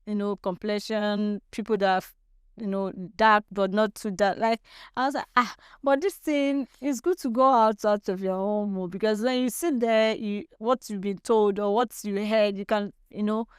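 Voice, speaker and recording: A woman's voice: mid-range voice, steady tone, moderate pace, smooth, no room sound, studio quality. A young woman from Nigeria with a Nigerian accent, chatting casually and a little argumentatively as an interview guest. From Nigeria, grew up in Lagos.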